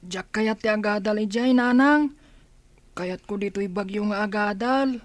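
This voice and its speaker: An actress playing a pleading, dutiful school-age child who is eager to study, in a Filipino radio drama. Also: female voice, mid-range voice, a little slow, no room sound, close to an everyday voice. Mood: earnest, pleading.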